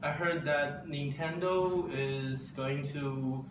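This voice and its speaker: A man of twenty with a Chinese accent, a native Mandarin speaker, answers casually and offhandedly. His pace is slow, and he sounds away from the mic in an echoey space with background noise. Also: on the high side, steady tone. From China. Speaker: university student interviewee. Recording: distant mic, echoey room, clear noise.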